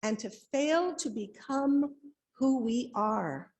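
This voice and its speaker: A woman delivers a sermon from a prepared text. Her voice sits a little high, and her pace is very slow. She moves through the script in measured phrases, giving each one its own space. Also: lively intonation, a little mellow. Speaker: lay reader delivering a written sermon. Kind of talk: sermon read aloud from a script.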